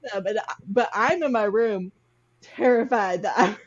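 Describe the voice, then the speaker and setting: A woman's voice: medium pitch, normal speed. A woman hosting a casual game among friends, chatting and telling stories informally over lo-fi online audio. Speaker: host of a word-guessing game among friends. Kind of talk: casual, animated chat and storytelling.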